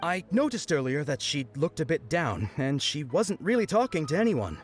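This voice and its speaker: A man playing an earnest, bashful young festival worker in a video game, with a hesitant, expressive delivery. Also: a little high, normal speed. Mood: bashful, devoted. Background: music.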